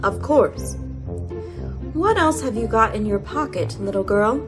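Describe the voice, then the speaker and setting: A woman's voice: a little high, animated, a little slow. A woman playing a pompous, ceremonious bird in a storybook radio play. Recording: music behind the voice.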